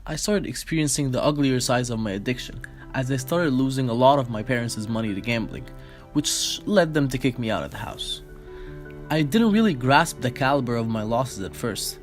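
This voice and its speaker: A regretful thirty-year-old man who gambled his way onto the streets, read by a man in a plain voice. His delivery is steady and earnest, pitched in the middle. Faint music plays behind him. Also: lightly acted. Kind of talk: scripted monologue.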